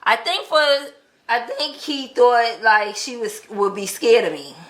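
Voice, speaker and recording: A woman's voice: a little high, expressive, a little slow. A woman telling a personal story in casual conversation, acting out quoted dialogue as she goes. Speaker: vlog host telling relationship stories. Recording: echoey untreated room.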